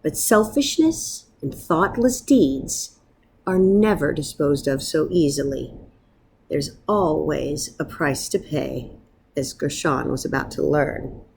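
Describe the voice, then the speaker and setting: Lady reading a kids story out loud, slow and really animated, slightly husky. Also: low-pitched. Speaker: storyteller reading a picture book aloud. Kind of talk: expressive children's read-aloud narration.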